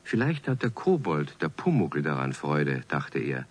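Tiefer, belegter Märchenerzähler. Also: male voice, extremely low pitch, huge pitch swings, measured pace, full character voice. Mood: gentle storytelling. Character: calm male storyteller of a children's tale. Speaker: narrator. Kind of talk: scripted storybook narration for children's radio play.

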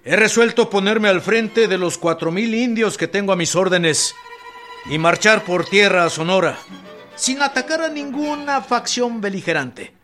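An actor playing a resolute revolutionary general in a Spanish-language radio drama, speaking in formal declarations. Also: male voice, high-pitched, moderate pace, fairly bright tone. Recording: music bed behind the voice.

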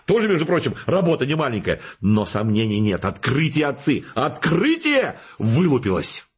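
An actor playing a boastful, excitable male scientist in a radio play, telling his tale in blustering, colloquial speech with a rough voice. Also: mid-range voice, moderate pace, lo-fi sound, exaggerated voice. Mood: self-important, excited. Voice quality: husky, rough.

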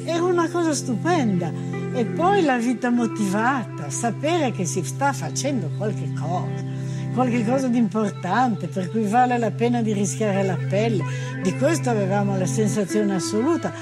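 A woman's voice: on the high side, lively intonation, normal speed. An older woman, a former partisan, giving a reflective personal testimony. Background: loud music.

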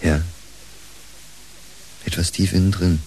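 Brooding, low-voiced doctor. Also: male voice, level tone, slow, creaky, a little mellow, a little hiss or hum, heavily acted, poor audio. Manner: earnest, hesitant, reflective. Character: brooding, divorced male doctor, earnest and searching. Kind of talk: German radio play acting.

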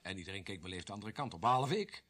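An earnest junior policeman in a radio drama. His pitch is animated and varied as he presses his suspicions with dutiful insistence. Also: rapid-fire. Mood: earnest, insistent. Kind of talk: naturalistic radio play acting.

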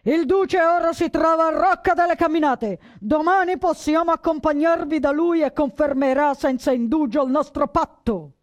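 A woman voicing a smug male fascist militia consul, raspy, loud and commanding, in a theatre monologue. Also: high-pitched, normal speed, hardly any pauses, no echo, pitched above the performer's own voice, close to an everyday voice. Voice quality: raspy, forced.

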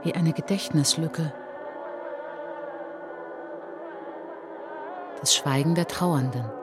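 A woman playing an introspective, wounded traveller who recalls an outcast childhood in a measured, poetic radio drama monologue, with a husky voice. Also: low voice, music in the background, close to an everyday voice. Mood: grave, sorrowful. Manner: measured, deliberate, short phrases. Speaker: narrator and lead of an autobiographical radio play.

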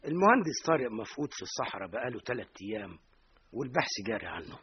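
An actor playing a polite, apologetic company official breaking bad news, in an old radio drama. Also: male voice, medium pitch, sing-song, rapid-fire, lightly acted. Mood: formal. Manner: formal, apologetic. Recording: muffled radio recording with faint hiss.

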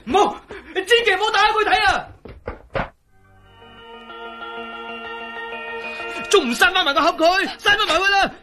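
A man's voice: extremely high pitch, quick pace, sharp tone, soft background music. An actor playing a tormented, impatient man in a Cantonese radio drama. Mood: panicked, desperate. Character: tormented, impatient man turned into a transparent skeleton.

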